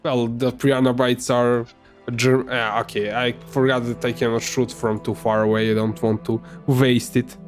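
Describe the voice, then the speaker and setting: A man whose first language is Serbian, giving casual, halting commentary while playing a game, with many fillers and restarts. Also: mid-range voice, little pitch movement, soft background music. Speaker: gamer.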